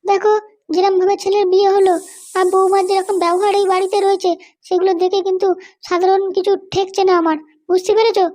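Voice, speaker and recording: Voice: very high voice, little pitch movement, fast talker, a little mellow. A performer voicing a bossy, suspicious, scheming Bengali wife and mother in a comedy cartoon, with a childlike voice and a nagging, commanding manner. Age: middle-aged. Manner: nagging, commanding, keeps demanding to be understood. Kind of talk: animated comedy cartoon dialogue.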